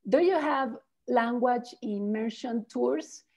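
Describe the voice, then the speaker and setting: A woman's voice: fairly high pitch, takes it slowly, darkish tone. Woman reading out viewer questions on a call. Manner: brief, plain questioning. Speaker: tour organizer reading audience questions. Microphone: video call.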